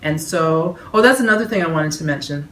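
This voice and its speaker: A woman's voice: sits low, lively intonation, brisk. A woman with a Canadian accent hosting a home cooking vlog, talking chattily with plenty of fillers. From Toronto. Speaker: vlog host. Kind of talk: casual announcement. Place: dining room at home. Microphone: camera across the table. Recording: roomy, distant, faint hiss.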